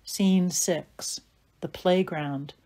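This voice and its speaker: A woman's voice: on the low side, steady tone, extremely slow, mellow. A woman giving scripted narration, reading out stage directions for an audio play.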